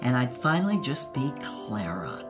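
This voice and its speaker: A woman's voice: a bass voice, big pitch swings, moderate pace, over music. A woman playing a wry, brassy showgirl who tells her own story in theatrical, vivid dramatized narration. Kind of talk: first-person dramatized narration.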